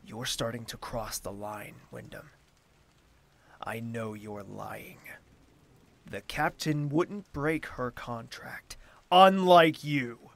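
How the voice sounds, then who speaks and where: Man as proud wounded sovereign, audio drama, unhurried delivery, fry in the voice, formal, imperious. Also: mid-range voice. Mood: stern, accusing. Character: haughty, jealous royal ruler, commanding and wounded.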